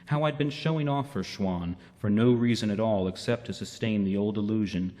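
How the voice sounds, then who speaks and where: A man playing a self-doubting robot who narrates his story in a reflective, measured way in a radio drama. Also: fairly low pitch, warm tone, natural voice. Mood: rueful. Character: self-doubting male robot recounting his own downfall. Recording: long reverberant hall sound.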